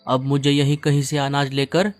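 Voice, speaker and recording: A man's voice: mid-range voice, level tone, moderate pace, faint music behind, natural voice. A man voicing an earnest, helpful talking bird in a cartoon, with emphatic delivery. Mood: worried. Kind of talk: cartoon dub acting.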